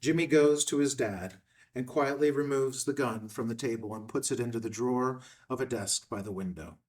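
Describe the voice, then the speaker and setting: A man's voice: medium pitch, normal speed, recorded from a distance. A man reading screenplay stage directions as a scripted narrator.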